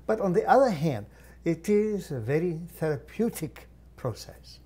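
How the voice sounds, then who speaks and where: A man channeling a guide entity, teaching in a measured, formal way with long pauses and thoughtful hums. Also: on the high side, sing-song, dark tone, slightly rough. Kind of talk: slow deliberate channeled teaching.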